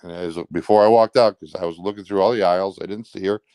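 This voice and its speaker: A man with a very low voice and a clear, smooth tone speaks casually as an interview guest. He recounts things in a blunt, rambling, everyday way, sometimes coarse in his wording. The sound is close and dry, with very little room in it. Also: fairly even intonation, fast, warm tone, no room sound. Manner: rambling, blunt, colloquial with swearing. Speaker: truck driver. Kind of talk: casual recounting of personal events.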